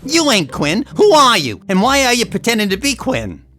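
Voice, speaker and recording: A man's voice: extremely high pitch, huge pitch swings, moderate pace, a bit bright, a little hiss or hum, exaggerated voice. A man playing a dim, blunt, wisecracking sidekick with a brash, slangy manner in a comedy audio drama. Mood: indignant.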